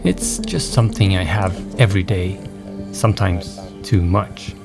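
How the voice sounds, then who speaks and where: Low-pitched male documentary narrator. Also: measured pace, creaky, loud music behind the voice.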